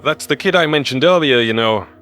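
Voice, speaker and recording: A man's voice: mid-range voice, lively intonation, a little fast, a bit bright, faint music behind, voice pushed up, natural voice. A man voicing a scruffy, earnest human conspiracy theorist in audio story dialogue.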